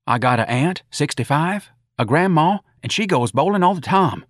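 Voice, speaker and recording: Audiobook role: mild, eager-to-please little cop, acted by a male narrator, folksy, raised pitch, lively intonation. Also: moderate pace, no echo, studio quality. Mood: eager, friendly. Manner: folksy ungrammatical talk, mild. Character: meek, friendly little patrolman, eager to please.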